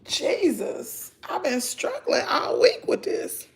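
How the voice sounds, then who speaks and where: A middle-aged woman with a Southern US accent, chatting hoarsely to her viewers at home on a phone livestream. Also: very high-pitched, big pitch swings, slow pace, background noise. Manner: chatty, laughing, drawling, talks to "y'all". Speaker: vlogger on a morning livestream. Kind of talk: casual chat with viewers. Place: at home indoors.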